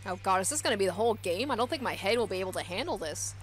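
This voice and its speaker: A woman's voice: mid-range voice, animated, racing. A woman hosting a let's play, reading a visual novel aloud and adding casual commentary. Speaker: let's play host and narrator.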